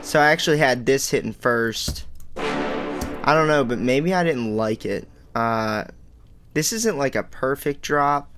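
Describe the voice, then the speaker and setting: A man's voice: medium pitch, measured pace. A man casually walking through his music production in a home studio, rambling and self-deprecating with lots of fillers. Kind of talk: casual tutorial walkthrough. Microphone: studio microphone.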